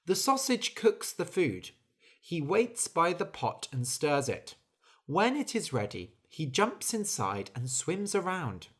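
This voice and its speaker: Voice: mid-range voice, very animated, slow pace, slightly rough, voice pushed up, heavily acted. A reader doing a weary hardworking bird. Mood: explaining. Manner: earnest, complaining. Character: hardworking female bird, tired and fed up.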